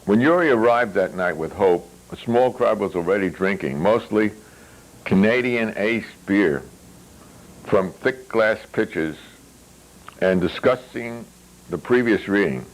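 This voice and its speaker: A man reading a novel aloud as its author, with wry, deliberate phrasing and hesitant asides. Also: fairly low pitch, expressive, poor audio. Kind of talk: literary reading with spoken asides.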